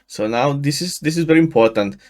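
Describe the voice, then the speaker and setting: A man in his thirties from Mexico, a native Spanish speaker with a Mexican accent, walking through a software install in a screen-share tutorial, often restarting his sentences. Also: a little high, expressive. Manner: halting, frequent restarts and fillers. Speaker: tutorial host. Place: small room indoors. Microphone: headset.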